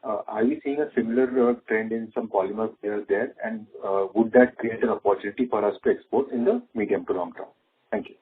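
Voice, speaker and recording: A man's voice: fairly low pitch, a little fast, breathy edge. A man, an analyst asking questions on an earnings call. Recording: narrow phone-like line.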